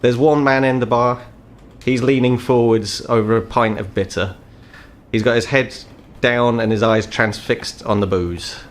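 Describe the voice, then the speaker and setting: A man's voice: medium pitch, fairly even intonation, unhurried. A man narrating a tabletop game as game master, in a wry, descriptive storytelling manner.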